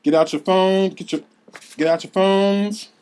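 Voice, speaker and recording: A man's voice: high voice, fairly even intonation, a little slow, darkish tone, clean tone, pitched above the performer's own voice. A man playing a bossy young armed robber who barks out stumbling commands in a script read. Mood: threatening.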